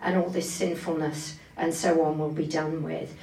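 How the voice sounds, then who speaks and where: A woman's voice: deep voice, little pitch movement, moderate pace, a little mellow. A woman giving an expository Bible sermon, explaining carefully and leaning on key phrases. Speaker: preacher giving a Bible talk. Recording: echoey room, distant microphone.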